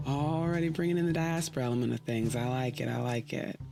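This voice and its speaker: A woman's voice: extremely low pitch, quick pace, creaky, over music. A woman hosting a podcast, narrating her story in a wry, conversational way with playful asides.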